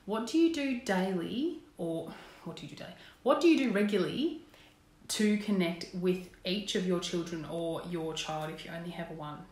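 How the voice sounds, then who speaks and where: Croaky Australian woman, live from home. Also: lowish voice, expressive, normal speed, darkish tone. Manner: earnest, encouraging, asks viewers questions. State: slightly croaky voice. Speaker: parenting coach. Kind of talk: casual live-stream talk to viewers. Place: indoors at home, small room. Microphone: phone camera, close framing. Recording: roomy echo in a home room.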